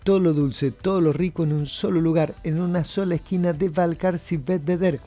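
A man's voice: on the high side, steady tone, normal speed, dark tone. A man with an Argentine accent co-hosting radio, moving between casual chat and live sponsor reads. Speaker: radio co-host.

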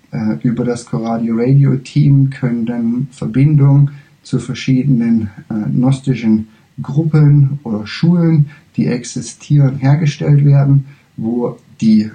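Very dark-voiced man, halting lecture. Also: medium pitch, low-quality recording. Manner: halting, searching for words, self-correcting. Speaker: spiritual lecturer. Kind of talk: spiritual lecture, spoken freely.

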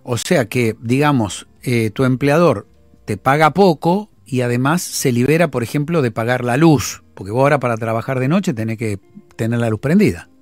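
A man's voice: medium pitch, normal speed, no echo, faint music behind. A man with a Rioplatense accent hosting a phone-in, probing the caller with insistent questions and recapping her figures. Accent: Rioplatense Spanish. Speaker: radio host.

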